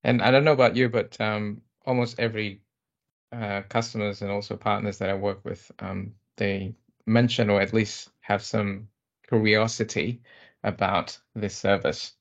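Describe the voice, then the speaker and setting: A man co-presenting an informal tech talk, with measured, hesitant phrasing. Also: lowish voice, steady tone. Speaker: developer co-presenter. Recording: dull, muffled lo-fi audio.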